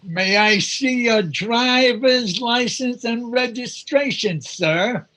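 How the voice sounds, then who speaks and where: A woman's voice: on the high side, slow, a bit bright. A woman playing a wry, officious police officer in 1940s-style radio comedy.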